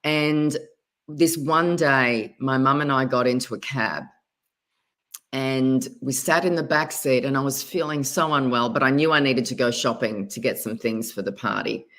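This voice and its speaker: A woman with an Australian accent, a guest telling her story in an interview, often pausing and restarting her words. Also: extremely low pitch, fairly bright tone. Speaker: guest, spiritual advisor.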